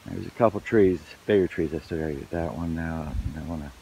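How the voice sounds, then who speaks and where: Hoarse man, very deep voice, rambling with fillers, noisy outdoor recording. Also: fast talker, warm tone. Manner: rambling, lots of fillers and restarts. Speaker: vlogger. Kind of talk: casual outdoor vlog narration. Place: outdoors on wooded property.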